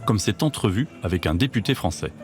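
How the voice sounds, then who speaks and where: A man reading a scripted documentary voice-over in a measured, even way. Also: lowish voice, music in the background. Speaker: documentary narrator.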